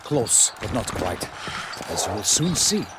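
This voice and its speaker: A man's voice: fairly low pitch, very animated, measured pace, heavy background noise. A man playing a chivalrous, genial knight in a video game, speaking in a florid, formal, declamatory way. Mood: courteous, formal.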